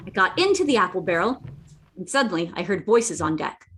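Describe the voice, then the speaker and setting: A woman's voice: lowish voice, big pitch swings, a little fast, over a noisy crowd. A woman playing an earnest boy who narrates a sea adventure in a radio play reading. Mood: earnest, storytelling.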